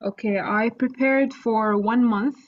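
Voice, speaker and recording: Female guest, native Arabic speaker, polite measured answers, muffled lo-fi call audio. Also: fairly high pitch, steady tone. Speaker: guest, recent medical graduate. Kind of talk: answering interview questions.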